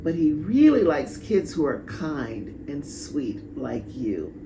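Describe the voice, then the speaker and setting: A kindly old grandmother from a storybook, as a woman reader puts her on. She is gentle and reassuring, slow in pace, with a creaky edge. The room echoes around her. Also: low voice, sing-song, noisy background, pitched below the performer's own voice, full character voice.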